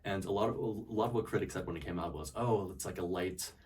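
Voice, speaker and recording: Low-voiced young man, off-mic. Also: fast talker, a little mellow. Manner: thoughtful, searching for words. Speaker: interviewee. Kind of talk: casual interview answers. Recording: voice picked up from a distance.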